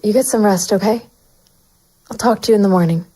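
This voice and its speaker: A woman's voice: mid-range voice, a little fast, dark tone, breathy edge, close to an everyday voice, rough recording. A woman playing a brooding, conflicted private investigator narrating a noir audio drama. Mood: awkward, gentle. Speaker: narrator and lead actor.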